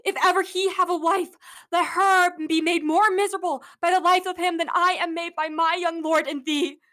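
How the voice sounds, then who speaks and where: Casting: young actress for furious bereaved noblewoman, Shakespearean radio drama, extremely high, sharp voice, scornful and impassioned. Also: steady tone, moderate pace. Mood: mournful, bitter. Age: young adult. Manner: declamatory, impassioned. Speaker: acting student. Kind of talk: Shakespearean radio play acting.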